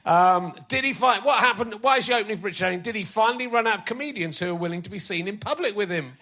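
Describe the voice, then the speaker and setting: A male comedian hosting a live podcast on a theatre stage, chatty and self-mocking, his voice slightly croaky from illness. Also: high-pitched, fast, pushed and bright. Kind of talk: live stand-up banter on stage.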